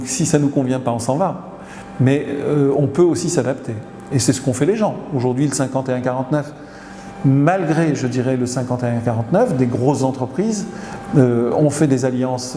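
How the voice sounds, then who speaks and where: A middle-aged man from Tunisia, a company CEO answering interview questions, who pauses often and hesitates mid-sentence. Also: mid-range voice, mellow. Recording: echoey hall with noise behind.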